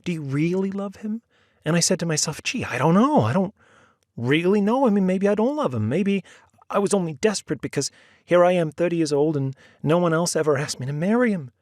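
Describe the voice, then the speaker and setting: A man's voice: high-pitched, lively intonation, brisk, a little mellow, no echo, clean studio sound, voice pushed up. A man voicing a flustered, apologetic woman of thirty who gushes breathlessly, in a story reading. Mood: ashamed. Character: flustered 30-year-old woman, ashamed.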